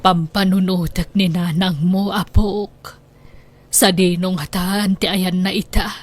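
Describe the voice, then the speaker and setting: A woman playing a slow, gentle old grandmother. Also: on the low side, fairly even intonation. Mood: wistful, consoling. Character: elderly grandmother, gentle, devout, worried for her child. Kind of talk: radio drama acting.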